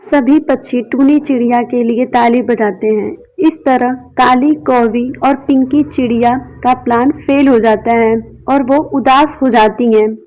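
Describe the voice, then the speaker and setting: A woman's voice: on the high side, darkish tone. A woman narrating scripted children's stories, with muffled, dull sound.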